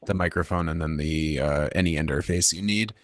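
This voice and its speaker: A man's voice: rumbling low, fairly quick, no echo, clean studio sound. A man chatting casually as a panelist. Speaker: audio drama creator, panelist. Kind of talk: casual chat.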